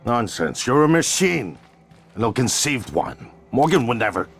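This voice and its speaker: An actor playing an indignant, controlling brother in a video game, with a scornful, emphatic, accusatory delivery. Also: male voice, medium pitch, sing-song, normal speed, creaky, slightly rough, faint music behind, full character voice. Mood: outraged. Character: indignant, controlling elder brother confronting a machine. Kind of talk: scripted video game acting.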